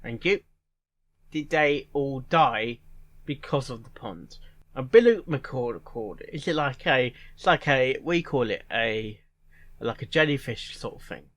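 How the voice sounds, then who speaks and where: A man giving casual reaction commentary in a halting way, repeating phrases and musing aloud in questions. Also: mid-range voice, animated, fairly bright tone. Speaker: reaction video host.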